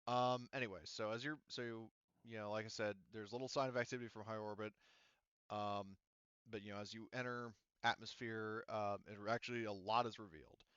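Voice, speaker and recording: A man's voice: lowish voice, fairly even intonation, fairly quick, fairly bright tone, smooth, dry recording. A man narrating as game master for a tabletop game, rambling with many fillers and restarts, heard over dull, lo-fi call audio.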